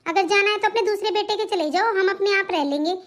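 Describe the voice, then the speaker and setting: Cartoon role: bossy young daughter-in-law acted by a woman, extremely high voice, scornful, scolding. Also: fast, a bit bright. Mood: scornful, angry. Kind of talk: Hindi family cartoon drama dialogue.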